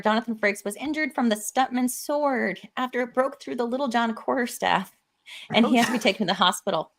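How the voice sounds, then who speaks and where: A woman casually hosting and directing a live script table read on a stream, often chuckling as she talks. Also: a little high.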